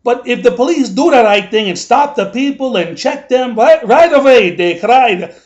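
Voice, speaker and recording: A man's voice: very high-pitched, expressive, moderate pace, darkish tone, lo-fi sound. A man playing a grumbling older male New Yorker, ranting in a rambling, indignant comic monologue full of asides.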